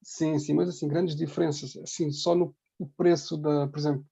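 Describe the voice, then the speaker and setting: Gravelly Portuguese man, higher-pitched voice. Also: little pitch movement, normal speed, dark tone, muffled recording. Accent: European Portuguese. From Ribatejo, Portugal. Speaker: interview guest, emigrant hospital worker. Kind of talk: casual conversational testimony.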